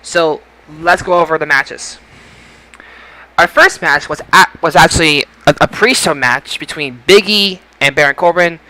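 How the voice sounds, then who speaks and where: A man's voice: on the high side, unhurried, pushed and bright, low-quality recording. A man hosting a casual podcast about wrestling results, speaking emphatically and often repeating and stumbling over phrases. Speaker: podcast host.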